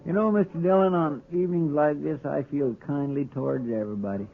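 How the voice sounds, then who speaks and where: An actor playing a mild, deferential deputy with a folksy, rambling Southern US drawl in a 1950s radio western. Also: male voice, fairly high pitch, fairly quick, vocal fry, phone-quality sound, faint music behind. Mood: contented, folksy. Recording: noisy, thin vintage 1950s radio recording.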